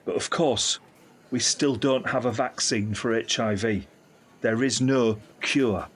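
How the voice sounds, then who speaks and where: Middle-aged uncle, husky voice, medium pitch, earnest, reflective, candid, caring, audio drama dialogue. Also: male voice, fairly even intonation, slow pace, fairly bright tone. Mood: hopeful, informative.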